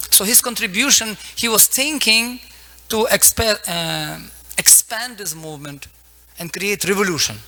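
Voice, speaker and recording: A man who is not a native English speaker gives a devotional sermon in short, halting phrases, searching for words and quoting scripture. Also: sits high, bright, forceful tone. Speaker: preacher giving a scripture lecture. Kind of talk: devotional sermon with Sanskrit quotations.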